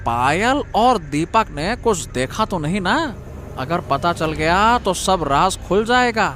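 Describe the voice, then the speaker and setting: A man playing a callous younger brother who schemes in a cartoon moral story, speaking haltingly. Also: sits high, lively intonation, fairly bright tone, slight background noise, heavily acted. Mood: uneasy, conspiratorial. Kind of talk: Hindi cartoon story dialogue.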